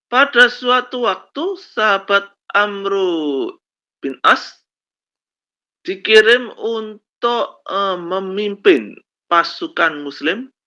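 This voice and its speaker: A male lecturer with a slight cold, telling stories slowly with many fillers in a lesson on Islamic law. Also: sits high, sharp tone. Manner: slow storytelling with many fillers and "kan begitu ya". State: has a cold, coughs. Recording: dull lo-fi recording.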